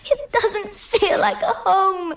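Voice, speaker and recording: An actress playing a passionate, petulant teenage music student in a radio drama. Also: female voice, very high-pitched, takes it slowly, vocal fry, fairly bright tone, recorded from a distance, exaggerated voice. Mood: upset, defiant. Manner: plaintive, petulant.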